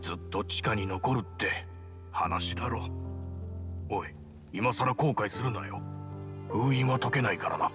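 A loyal, worn-out fighter in a dramatic game scene, played by a man. He talks in a low voice, familiar and emotional, with music clearly playing underneath. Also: measured pace, long pauses, natural voice. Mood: urgent. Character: loyal human warrior sorcerer, weary and pleading. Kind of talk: dramatic game cutscene acting. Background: background music.

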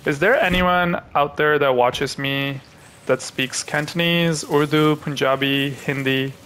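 A young man from Pakistan, hosting a gaming channel, who chats to viewers and thinks aloud as he plays, with excitable reactions. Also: fairly high pitch, a little slow, slight background noise. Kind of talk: live gameplay commentary.

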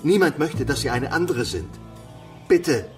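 A man's voice: medium pitch, lively intonation, a little slow, recorded from a distance, soft background music, close to an everyday voice. An actor playing an earnest man pleading urgently in a crime radio play.

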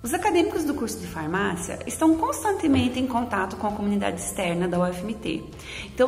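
Formal woman, gravelly voice, echoey room. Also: on the high side. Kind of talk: prepared institutional talk.

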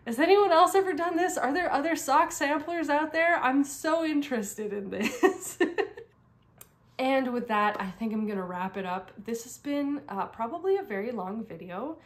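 A woman's voice: sits high, lively intonation, moderate pace. A young woman from Canada, recovering from a cold and losing her voice, chatting casually about crafts, rambling with frequent laughs and restarts. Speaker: crafting vlogger. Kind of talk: casual chat to camera.

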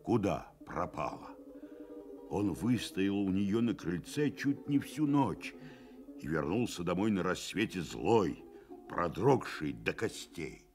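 A man narrating a radio drama in a measured, expressive literary storytelling manner. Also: low voice, music in the background.

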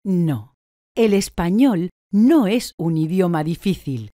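A woman answers drill questions very slowly, with a mellow, lowish voice and lively rises and falls in pitch. Every word is carefully articulated. The recording is close and dry. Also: dry recording. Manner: slow, deliberate, clearly articulated answers. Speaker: language course speaker.

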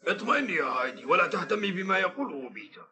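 A gruff old bearded grandfather. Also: sits high, sing-song, rapid-fire, a crowd in the background. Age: older. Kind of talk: Arabic cartoon dub.

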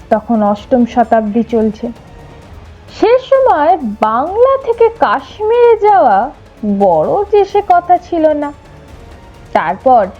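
A woman narrating a scripted historical audio story in a dramatic, expressive and measured way. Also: high-pitched, lo-fi sound. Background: music behind the narration.

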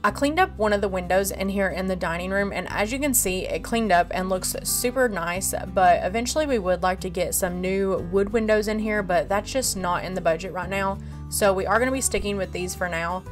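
A woman's voice: medium pitch, a little fast, soft background music. A woman vlogger giving a casual walkthrough of her farmhouse renovation, explaining her plans step by step, filmed on a handheld phone in an empty room.

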